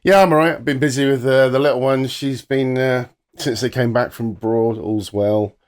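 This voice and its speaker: A man's voice: mid-range voice, fairly even intonation, moderate pace. A middle-aged man co-hosting a podcast, telling stories in an easygoing, chatty way. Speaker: podcast co-host. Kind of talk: casual chat.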